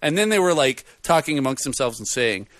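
A man in casual chat, reviewing a movie with a loud voice, rambling and full of fillers. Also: mid-range voice, brisk, no echo. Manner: rambling, animated storyteller with many fillers. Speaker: podcast co-host reviewing a film.

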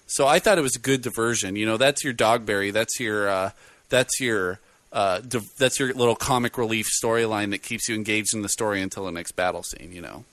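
A man in casual chat, reviewing a movie with a loud voice, rambling and full of fillers. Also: fairly low pitch, no echo. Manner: rambling, animated storyteller with many fillers. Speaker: podcast co-host reviewing a film.

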